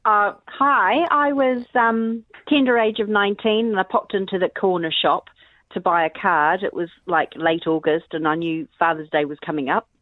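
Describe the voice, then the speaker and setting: A woman's voice: mid-range voice, fairly even intonation, moderate pace, no room sound. A woman calls into a radio show over a tinny phone line. She tells a chatty story, playing out each side of the conversation. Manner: chatty, acts out the dialogue. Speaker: caller. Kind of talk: telling an anecdote.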